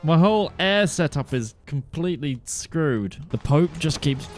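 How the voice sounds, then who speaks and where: A man casually narrating his own strategy game run, thinking aloud and swinging between flat musing and sudden excitement. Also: a little high, a little slow, soft background music. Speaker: gaming YouTuber.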